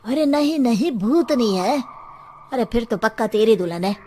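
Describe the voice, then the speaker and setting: High-ish, flustered, bickering bystander acted by a woman. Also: animated, normal speed, a little mellow, slight background noise, natural voice. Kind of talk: Hindi cartoon story acting.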